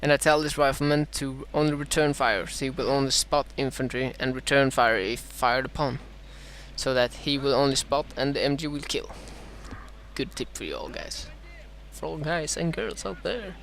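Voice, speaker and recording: A man from Sweden with a Swedish accent and a dry throat, casting his own strategy game match in a halting way, full of "uh"s and self-corrections. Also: mid-range voice, creaky, a bit bright, a little hiss or hum. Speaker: gameplay commentator.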